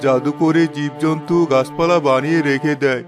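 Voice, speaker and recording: Elderly village uncle acted by a man, fairly high male voice, grave, fatherly, cautioning, cartoon, music. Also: steady tone, moderate pace, close to an everyday voice. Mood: grave warning. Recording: music behind the voice.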